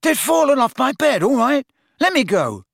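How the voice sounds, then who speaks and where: A man voicing a lovesick teenage boy in an audiobook, breathy and strangled, moony and overwrought. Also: sits high, slow pace, dry recording, studio quality, voice pushed up, heavily acted. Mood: indignant. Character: lovesick teenage boy under a love potion, dreamy then furious. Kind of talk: audiobook character voice.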